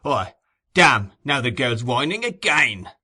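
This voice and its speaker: A man playing a sickly, bullying brute who growls every line in a savage, curt voice. The tone stays bright and forceful, pushing hard at the listener. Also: medium pitch, very animated, takes it slowly, husky, exaggerated voice. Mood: gruff, savage. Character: sick, brutish grown man, a bullying thug.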